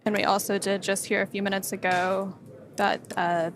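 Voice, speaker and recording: A woman's voice: medium pitch, level tone, moderate pace, slight background noise. A woman giving explanatory live commentary on a space mission broadcast, partly reading from prepared notes.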